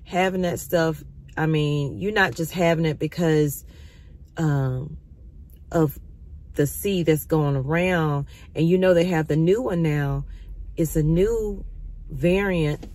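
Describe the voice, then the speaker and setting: A middle-aged woman vlogging from a parked car, her voice still slightly raspy as she recovers from illness, talking casually to her viewers and calling them y'all. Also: low voice, slow, a little mellow. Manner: chatty, addresses viewers as y'all. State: recovering, occasional cough. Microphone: phone camera at arm's length.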